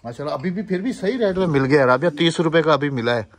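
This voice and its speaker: A man's voice: on the high side, expressive, fast talker. A middle-aged man with a Pakistani accent, chatting casually as a village family vlogger, recorded outdoors on a phone at arm's length. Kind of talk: casual chat to camera. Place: outdoors in village fields and open house courtyard.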